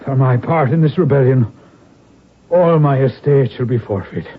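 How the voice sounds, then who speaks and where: An actor playing a wounded, middle-aged Irish rebel lord on his deathbed in a radio drama, weary and halting, with an Irish accent. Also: medium pitch, natural voice. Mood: urgent. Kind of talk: old-time radio drama acting. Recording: muffled, narrow-band vintage radio recording.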